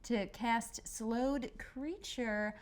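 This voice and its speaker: A woman with a fairly high voice talks as a player in a tabletop roleplaying game. She casually lays out what her character does. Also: extremely slow. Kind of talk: casual game play talk.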